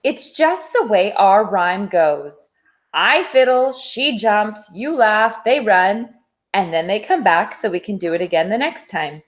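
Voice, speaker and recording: A woman's voice: medium pitch, expressive, a little slow, lightly acted. A woman voicing a bossy, fretful storybook cat in an insistent, dramatic way. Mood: dramatic, exasperated.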